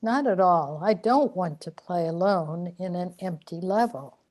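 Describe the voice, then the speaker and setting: A woman's voice: lowish voice, measured pace, a little mellow. A woman playing a weary, plaintive immortal seeker in a sci-fi radio drama. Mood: questioning. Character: weary immortal seeker trapped in repeating lives.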